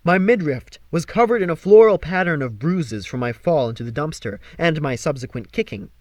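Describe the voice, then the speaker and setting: Male audiobook reader, expressive, dramatic, lively swinging intonation, dry sound, scripted novel narration. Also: a little high, fairly quick, dry recording.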